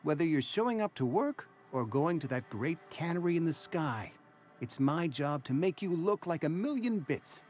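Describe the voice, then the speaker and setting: An actor playing a fussy, proud small-town undertaker and barber in a video game, with prim, theatrical, formal diction. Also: male voice, a little high, sing-song, normal speed, darkish tone, faint music behind. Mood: courteous, proud. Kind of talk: video game character dialogue.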